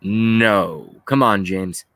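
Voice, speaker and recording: A man of thirty-five hosting a livestream, talking casually and haltingly as he rants and reads out chat messages, with some swearing. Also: on the low side, a bit bright, no echo. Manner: rambling, halting, often swearing. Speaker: livestream host. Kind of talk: casual political rant while reading live chat.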